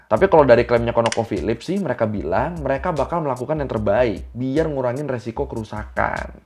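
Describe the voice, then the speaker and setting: A young man with a Jakarta accent narrates an explainer in a medium-pitched voice. He keeps it chatty and slangy, often addressing the listener directly, with music playing softly behind him. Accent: Jakarta Indonesian. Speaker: explainer video host.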